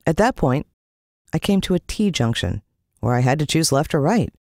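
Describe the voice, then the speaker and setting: An American woman narrating a scripted children's adventure story in an expressive style. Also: deep voice, normal speed, no echo, studio quality. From United States. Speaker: audiobook narrator.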